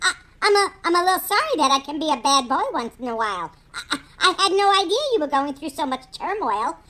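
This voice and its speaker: A woman's voice: high-pitched, very animated, a little fast, sharp tone, voice pushed up, heavily acted. A woman voicing a cheeky little boy who talks to his mom with playful exclamations. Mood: astonished.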